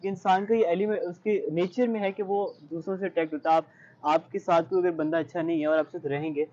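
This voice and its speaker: A man's voice: high-pitched, fairly even intonation, fast, a little mellow, highs cut off. A young man with a Pakistani accent answering interview questions in an earnest, reflective way, mixing in English phrases. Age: young adult. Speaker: interview guest, high-achieving student.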